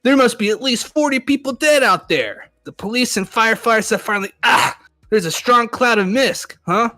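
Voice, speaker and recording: A rattled on-the-scene news reporter as a man plays him, speaking high and alarmed. The delivery is a dramatic live disaster report. There is soft music behind. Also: animated, moderate pace, heavily acted. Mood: shaken, alarmed.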